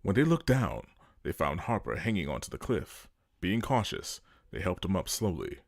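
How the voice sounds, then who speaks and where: A man's voice: low-pitched, sing-song, normal speed, vocal fry, no echo, studio quality. A man reading scripted dramatic narration as the storyteller of an audio drama.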